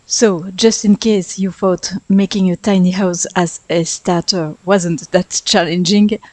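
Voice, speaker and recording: French-accented woman, unhurried, dry close voice-over, chatty and self-deprecating. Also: fairly low pitch, steady tone, no room sound. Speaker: Sims speedbuild YouTuber. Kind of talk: voice-over narration over a game build.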